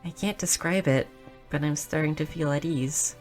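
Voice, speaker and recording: A woman's voice: low voice, normal speed, a little mellow, natural voice. A woman playing a shy, clumsy, sweet young goblin girl who stammers earnestly in an intimate romantic audio roleplay. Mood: tender, at ease. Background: music.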